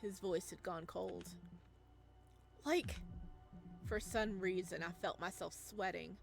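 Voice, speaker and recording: A woman reading a visual novel aloud as a player, in expressive, theatrical narration over music. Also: lowish voice, takes it slowly, vocal fry. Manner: expressive, theatrical reading with stresses and hushed passages.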